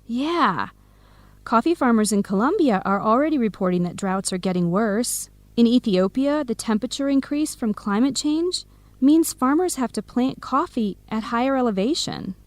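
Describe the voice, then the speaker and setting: A woman's voice: fairly high pitch, animated, moderate pace, no room sound, natural voice. An actress playing an earnest, playful young woman with coffee shop dreams in an educational radio drama. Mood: earnest, thoughtful.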